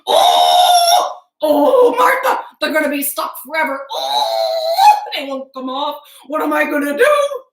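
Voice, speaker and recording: A woman voicing an excitable, simple-hearted woodcutter husband in a children's story, with blustering exclamations. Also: sits high, slow, recorded from a distance, voice pushed up, full character voice. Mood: panicked. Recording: echoey living room.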